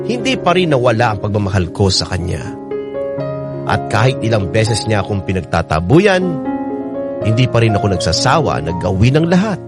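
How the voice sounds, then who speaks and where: A man's voice: mid-range voice, close to an everyday voice. An actor playing a devoted, heartbroken young man narrating his love story in an earnest, emotive radio drama style. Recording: loud music under the narration.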